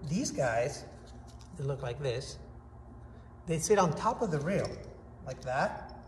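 A man's voice: fairly high pitch, animated, slow pace. Upbeat man reviewing hardware in echoey room. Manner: upbeat, enthusiastic, demonstrating with hands. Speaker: product reviewer. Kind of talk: casual product review to camera. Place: indoor workshop with hard walls. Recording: echoey room, faint background noise.

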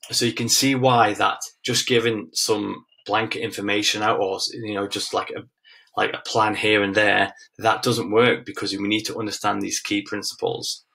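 A man in his thirties with a lowish voice and a noticeable creak presents as a fitness coach. He teaches informally and directly, leaning on repeated key phrases to drive each point home. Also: fairly bright tone. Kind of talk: informal webinar lesson with slides.

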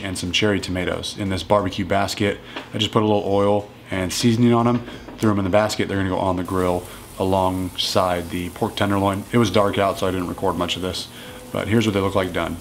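Man, low voice, relaxed cooking talk, faint room hum. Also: a little fast, gravelly. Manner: relaxed and matter-of-fact, plain step-by-step talk. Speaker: strength coach cooking at home. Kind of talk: casual cooking walkthrough. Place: home kitchen.

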